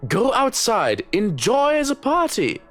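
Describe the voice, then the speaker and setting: A man's voice: very high voice, wildly swooping pitch, slow pace, a bit bright, faint music behind, voice pushed up, heavily acted. A man voicing a stern, suspicious butler in a video game, speaking in a formal, admonishing way. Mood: cold, insistent.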